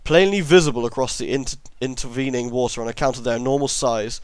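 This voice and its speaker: A man's voice: medium pitch, quick pace, smooth, dry recording. A man narrating a horror story as an amateur reader, now and then stumbling over long words and correcting himself.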